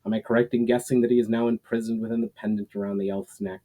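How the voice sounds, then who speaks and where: A man's voice: lowish voice, flat delivery, fast talker, dark tone, natural voice. A man voicing a weary, repentant fallen warlord in a fantasy story, with formal, earnest, world-weary phrasing. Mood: questioning.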